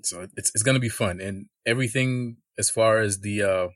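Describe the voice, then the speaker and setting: A man joins a podcast as a guest and talks in an easygoing way, laughing between his points. His voice is fairly low, and the recording is dry and close. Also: moderate pace, no echo. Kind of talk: casual chat.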